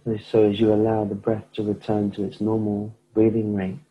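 A low, husky-voiced man leads a guided meditation. He speaks slowly and flatly, leaving long pauses between phrases. His tone is mellow and level throughout. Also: dull audio. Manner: slow, soft-spoken, pausing often. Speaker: meditation teacher.

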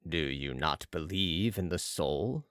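A reader voicing a solemn, mysterious Italian master who speaks gravely and quietly in an audiobook. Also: deep voice, lively intonation, takes it slowly, no room sound, studio quality, pitched below the performer's own voice, heavily acted. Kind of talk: audiobook character reading.

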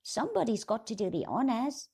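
Small, blunt dwarf men from a spoken storybook, loud and strained, their voices jumping high above the reader's usual tone and swinging widely as a woman puts them on. There is a gravelly rasp running through every line. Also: big pitch swings, a little fast, heavily acted. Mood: musing. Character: gruff small male dwarves, urgent and blunt.

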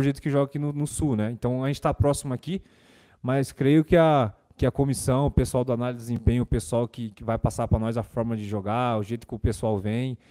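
Young man with a mellow voice, press room. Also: mid-range voice. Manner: casual, repeats words and fillers. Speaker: footballer at a press conference. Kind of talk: press conference answers. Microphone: desk microphone.

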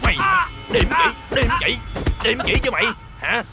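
A man's voice: sits high, expressive, fairly quick, loud music behind the voice. An actor playing a brutish, sadistic servant henchman with a southern Vietnamese accent, barking bullying questions over and over in a dramatic period style. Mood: violent rage.

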